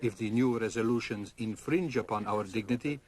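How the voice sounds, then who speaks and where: A man interpreting into English, in a measured, solemn and declarative way. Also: on the low side, a little mellow. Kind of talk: formal consecutive interpreting.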